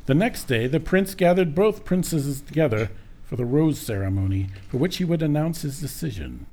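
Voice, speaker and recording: Man as lively storybook narrator, slightly high voice. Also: moderate pace. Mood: brisk. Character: brisk storybook narrator of a royal dating tale. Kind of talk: scripted comic narration.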